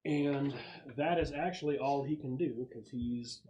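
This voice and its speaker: Male game master over clear background noise. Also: medium pitch, measured pace, warm tone. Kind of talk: casual narration of tabletop game rules and action. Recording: noisy home recording with clicks.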